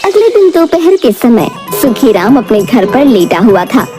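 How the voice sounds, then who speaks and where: High-pitched woman narrating a story. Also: sing-song, measured pace, over music. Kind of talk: scripted moral-story narration.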